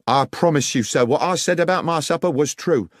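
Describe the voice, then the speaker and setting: A man voicing an earnest, anxious railway guard in a mystery audiobook, respectful even as he protests. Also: fairly high pitch, fairly quick, no room sound, clean studio sound, pitched above the performer's own voice, natural voice. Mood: earnestly protesting. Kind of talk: dramatised audiobook dialogue.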